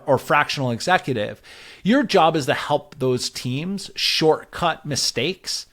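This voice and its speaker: A man's voice: on the high side, creaky, a bit bright. A man speaking as an interview guest, explaining advice in a casual, structured way with conversational filler words. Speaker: podcast guest, growth advisor.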